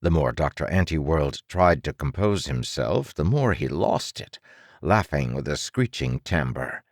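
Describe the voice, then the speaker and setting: A man narrating dramatic fiction for an audiobook in a deliberate storytelling manner. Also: a bass voice, animated, no echo, studio quality.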